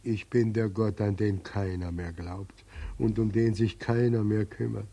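An actor playing God as a gentle, powerless old man in a 1940s German radio drama, plaintive and lamenting. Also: lowish voice, steady tone, a little slow, creaky. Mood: mournful.

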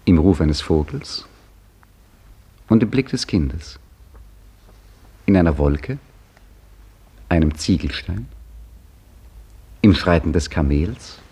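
An older actor playing an old caretaker and storyteller, dry and ironic, in a 1950s radio drama. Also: male voice, sits low, expressive, slow, long pauses, mellow, husky, heavily acted. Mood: weary wise. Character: old male caretaker, wry wise storyteller. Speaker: caretaker telling a tale.

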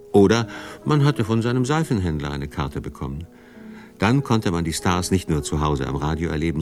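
A man's voice: rumbling low, a little mellow. A man reading scripted documentary narration as the narrator. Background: faint music.

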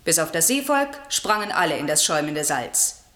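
An airy spirit servant speaks in a wash of echo. The spirit is eager and proud, declaiming verse with vivid theatrical flourish, as in a classical German radio play. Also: female voice, low-pitched, very animated, normal speed, a bit bright. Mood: exultant.

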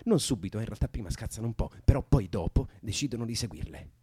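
Coaxing young storyteller, lively and husky. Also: male voice, fairly low pitch, fast. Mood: playful, coaxing. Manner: coaxing, colloquial, imaginative. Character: young man soothing a distressed woman with invented stories. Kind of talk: Italian radio drama acting.

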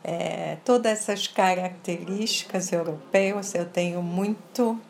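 A woman from France speaks slowly and deliberately, with a slight French accent. She sets off single words and checks in with the listener as she explains. Faint noise sits under the recording. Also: on the low side, fairly even intonation, creaky, a little mellow. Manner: measured, stresses single words, often ends with "tá?". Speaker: interview guest. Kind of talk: casual interview conversation.

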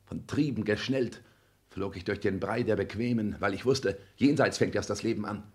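A man's voice: lowish voice, normal speed, a little mellow, close to an everyday voice. An actor in a 1960s German radio drama playing an arrogant, ambitious self-made man with a declamatory, commanding delivery. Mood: haughty. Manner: declamatory, haughty, clipped commands. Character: arrogant, ambitious self-made rich son, cold and imperious.

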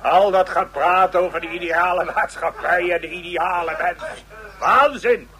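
A man's voice: sits high, a little slow, sharp tone, husky, full character voice. A man playing a boisterous, scornful old professor in a radio drama, mocking and growling with exuberance. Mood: scornful, exuberant.

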